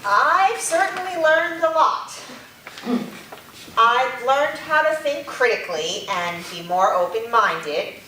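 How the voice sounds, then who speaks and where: Woman as a slow, bright-voiced skeptical student. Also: fairly high pitch, heavily acted. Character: skeptical female college student aboard a submarine. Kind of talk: staged play reading. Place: echoey room, staged reading. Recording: very echoey room, far off, faint noise.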